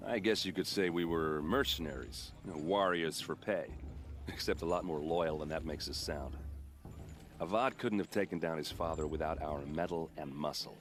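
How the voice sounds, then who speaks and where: A man's voice: a bass voice, normal speed. An actor playing a friendly, chatty young warrior who boasts about his tribe and his steel armor. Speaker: soldier in a king's vanguard. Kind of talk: video game cutscene dialogue. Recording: game audio with ambient noise.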